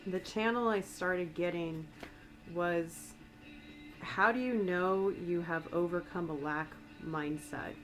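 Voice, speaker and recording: Woman, American accent, a bit raspy from a cold, soft ASMR reading, low-pitched. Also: slow pace, soft background music, poor audio. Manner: soft, meandering, conversational. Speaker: tarot reader and astrologer. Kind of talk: ASMR-style channeled tarot reading.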